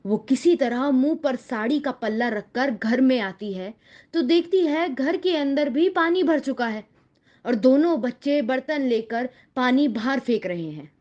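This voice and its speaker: Casting: female narrator for children's moral stories, high-pitched voice, scripted storytelling, slightly dull lo-fi sound. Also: lively intonation, measured pace, a little mellow.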